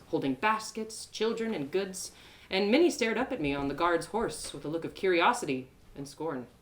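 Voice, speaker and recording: A woman storyteller giving an expressive first-person narration of a fantasy adventure in a live reading. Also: lowish voice. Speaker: storyteller, one-woman audio drama performer.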